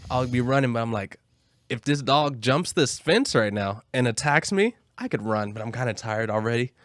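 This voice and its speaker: A man's voice: mid-range voice, animated, moderate pace, a bit bright, dry recording, studio quality. A man in casual podcast banter, swearing and using slang as he jokingly acts out little scenarios. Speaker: podcast co-host.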